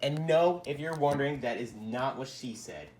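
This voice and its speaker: A man's voice: mid-range voice, lively intonation, normal speed, background noise. A young man showing off his radio collection in a casual, rambling way, with the odd muttered curse. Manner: laid-back and rambling, with mild swearing. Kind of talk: casual rambling product demo.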